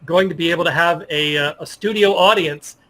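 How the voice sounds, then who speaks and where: A middle-aged man with a very bright, forward voice sitting somewhat high in pitch. He talks casually as an interview guest, picking his way through sentences in a halting, modest manner. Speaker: interview guest, sports journalist. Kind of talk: casual interview conversation about fantasy sports.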